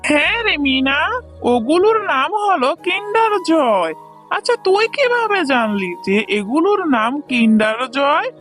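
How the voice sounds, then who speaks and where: A woman plays a kind tigress shopkeeper in a cartoon. Her voice is very high, caring and full of sympathy. Faint music plays underneath. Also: sing-song, unhurried, fairly bright tone, exaggerated voice. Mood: friendly. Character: kindly, pitying tigress aunt who keeps a shop. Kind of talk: children's cartoon story dubbing.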